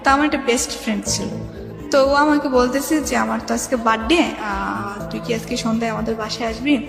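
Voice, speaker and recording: A woman's voice: a little high, fairly even intonation, brisk, creaky. A young woman with a Bangladeshi accent telling her life story informally as a guest, rambling and often quoting remembered dialogue. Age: young adult. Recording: reverberant hall echo, music behind.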